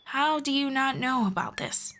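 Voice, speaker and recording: A woman voicing a patient, gently formal female elf friend in an ASMR roleplay, speaking softly and politely. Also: sits high, lively intonation, a little hiss or hum. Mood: calm, patient. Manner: soft, unhurried, politely formal.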